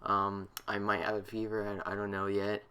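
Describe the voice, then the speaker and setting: A man's voice: fairly low pitch, completely flat delivery, normal speed, sharp tone. A congested man hosting a casual soccer talk show, explaining tactics and giving opinions at length. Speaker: host.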